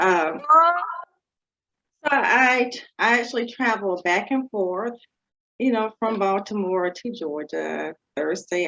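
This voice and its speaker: A woman physician introducing herself on an online panel, halting, with many fillers and frequent laughs. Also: fairly low pitch, fairly bright tone, highs cut off. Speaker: physician guest.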